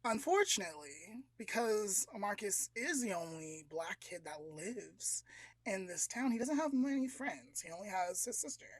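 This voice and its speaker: A man's voice: high-pitched, breathy edge, no room sound. A man with an American accent co-hosting a podcast, casually telling a story in a conversational, approximate way. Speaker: podcast co-host.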